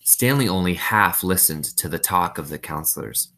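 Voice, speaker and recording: Low-voiced man reading a story aloud. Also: lively intonation, moderate pace, sharp tone. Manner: explains simply, asks listeners questions. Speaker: reader of a children's novel. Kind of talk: read-aloud storytelling with explanatory asides.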